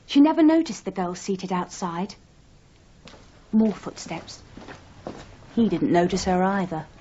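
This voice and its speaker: A dreamy woman writer in a radio play, dramatising her own life as literary narration. She speaks slowly and huskily. The recording is slightly dull. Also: on the low side, sing-song, dark tone, husky, full character voice. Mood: brooding, literary. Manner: deliberate, self-dramatising. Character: dreamy, self-narrating aspiring woman novelist.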